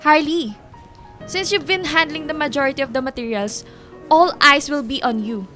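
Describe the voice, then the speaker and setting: A woman's voice: high-pitched, moderate pace, sharp tone, faint music behind. An actress playing an imperious, threatening female marketing boss in a radio drama, speaking formally and sternly.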